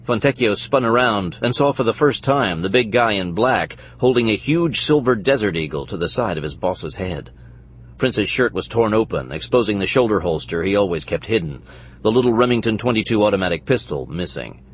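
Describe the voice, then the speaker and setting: A man's voice: on the low side, expressive, fairly quick, slight background noise. A man reading scripted narration for an action thriller audiobook.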